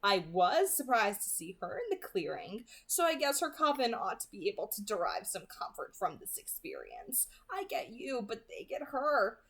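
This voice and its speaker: A woman's voice: on the high side, very animated, moderate pace, hardly any pauses, a bit bright, husky, full character voice. A woman reading aloud as a gloating, mockingly polite male vampire hunter in a storybook, with a genteel, sarcastic manner. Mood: gloating, taunting.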